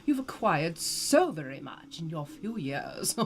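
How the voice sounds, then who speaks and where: A woman's voice: deep voice, very animated, unhurried, slight background noise, heavily acted. A woman playing a genteel, ageless Southern lady more than a century old, chatting theatrically and effusively in an old-fashioned American Southern accent. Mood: delighted, chatty. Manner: posh, theatrical, drawling, effusive. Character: genteel ageless Southern woman over 150, playfully flirtatious. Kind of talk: in-character live-stream chat and storytelling.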